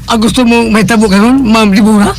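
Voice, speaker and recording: A man playing an aggrieved old grandfather in a radio drama, pleading and halting with emotion. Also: high-pitched, fairly even intonation, close to an everyday voice. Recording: radio drama with music behind.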